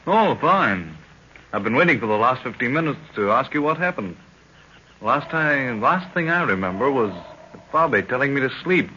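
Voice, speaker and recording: An actor playing an earnest, polite young adventurer in an old radio drama. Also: male voice, medium pitch, expressive, normal speed, pushed and bright. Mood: earnest, cheerful. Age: young adult. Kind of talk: 1930s radio acting. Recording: muffled, faintly noisy 1930s radio recording.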